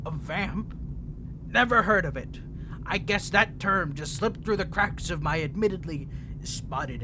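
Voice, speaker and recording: A man's voice: high-pitched, noisy background. A man voicing an unhinged, ancient imprisoned vampire who is flirtatious, needy and manipulative, talking in a theatrical, rambling, teasing way in an audio roleplay. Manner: theatrical, rambling, teasing, swinging between smug and pleading. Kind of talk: dramatic character audio roleplay.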